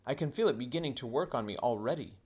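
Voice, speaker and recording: A man's voice: medium pitch, animated, fast talker, a little mellow, slightly rough, pitched above the performer's own voice. A man voicing a headstrong, curious and argumentative young boy from a children's storybook, insistent and exasperated.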